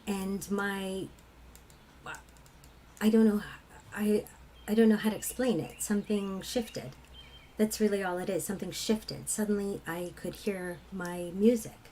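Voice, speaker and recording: A woman from England with a low, husky voice, a singer telling personal stories in a reflective conversation. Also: fairly even intonation, measured pace, mellow, a little hiss or hum. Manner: reflective, laughs often while telling stories. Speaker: kirtan singer.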